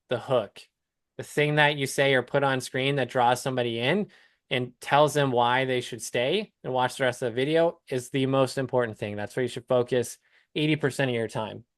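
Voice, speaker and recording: A man's voice: medium pitch, a bit bright, no room sound, studio quality. A man talking casually as a coach on a live call, explaining things step by step with examples. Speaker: business coach hosting a client call.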